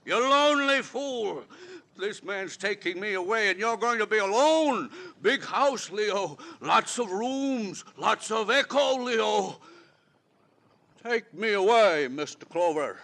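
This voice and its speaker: A sixty-something killer in old-time radio drama, high bright voice, bitter and mocking. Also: male voice, lively intonation, takes it slowly, exaggerated voice. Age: 60s. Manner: agitated, mocking, sulky. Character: bitter aging man, jealous, defiant killer. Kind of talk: 1950s radio drama acting.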